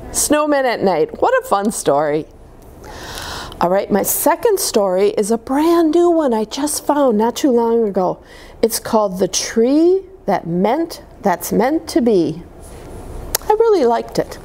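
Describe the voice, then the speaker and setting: A woman reading picture books aloud to young children, with expressive, deliberate phrasing and chatty asides to her listeners. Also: on the high side, a little hiss or hum. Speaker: children's story-time reader at a church.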